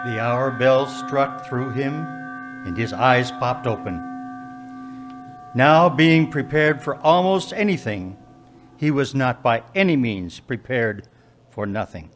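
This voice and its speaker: A man narrating a live radio play with measured, dramatic pauses. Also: mid-range voice, soft background music.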